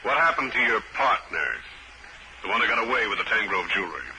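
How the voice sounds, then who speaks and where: Low-voiced, tough private eye. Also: male voice, sing-song, brisk. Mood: probing. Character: tough, cool private detective, man narrating his case. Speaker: lead character and narrator. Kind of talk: 1950s radio detective drama. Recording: very noisy old radio recording.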